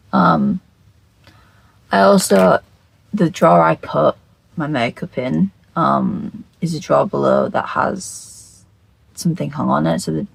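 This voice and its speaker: Husky just-woken croak, mid-pitched, rambling makeup vlogger, casual, scatterbrained, sweary, repeats phrases. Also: dry recording, rough recording. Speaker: makeup video creator. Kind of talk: casual rambling chat to camera.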